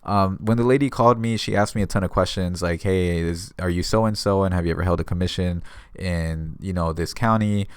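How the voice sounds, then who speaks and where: American guy doing casual advice vlog, real low clean voice, super dry sound. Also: brisk, clear, resonant tone, no room sound. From San Diego area, California. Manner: conversational, explains by retelling exchanges. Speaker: notary giving advice to viewers. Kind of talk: casual instructional vlog talk.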